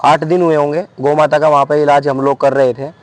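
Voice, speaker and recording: Activist guy w western UP accent, fairly high voice, unscripted complaint. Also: level tone, fairly quick, no echo. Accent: western Uttar Pradesh. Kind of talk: spontaneous spoken complaint.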